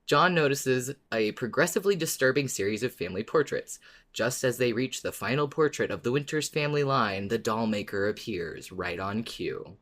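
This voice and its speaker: Man co-hosting a podcast, medium-pitched voice. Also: moderate pace, a bit bright. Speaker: podcast co-host. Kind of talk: casual podcast chat and episode recap.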